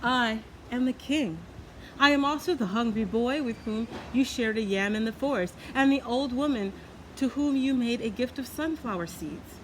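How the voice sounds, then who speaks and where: A woman's voice: a little high, moderate pace, natural voice. A woman as a stately shape-shifting storybook king. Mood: calm, loving. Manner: stately, loving. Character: benevolent shape-shifting male king, once a garden snake. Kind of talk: storybook character voice. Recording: clearly noisy background.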